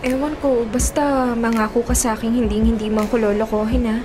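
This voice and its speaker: Lady acting nervous young pinoy girlfriend, coy n doubtful, radio drama, highish voice, music behind. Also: fairly even intonation, a little fast, natural voice. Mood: doubtful, worried. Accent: Filipino. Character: anxious, doubting young girlfriend, guarded but smitten.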